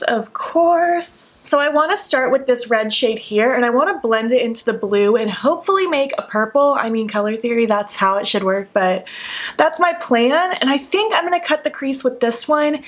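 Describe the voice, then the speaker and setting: A young woman in a casual makeup tutorial, talking softly with a sleepy early-morning voice and narrating each step as she works. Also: high voice. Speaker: beauty vlogger. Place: at home.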